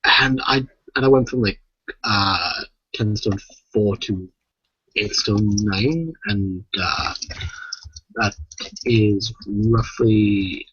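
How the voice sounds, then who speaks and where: Husky-voiced chatty British man, slightly muffled. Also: fairly low pitch, very slow, pauses often, a bit bright. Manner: chatty, swearing, rambling. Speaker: podcast co-host. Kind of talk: casual podcast chat.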